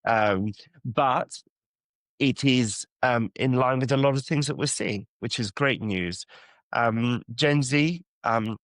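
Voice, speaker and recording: A man's voice: mid-range voice, slow pace, fairly bright tone, no echo. A man from the London area, a church youth ministry worker, answering interview questions in a thoughtful, hesitant way with many ums. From England (London area). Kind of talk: podcast interview guest.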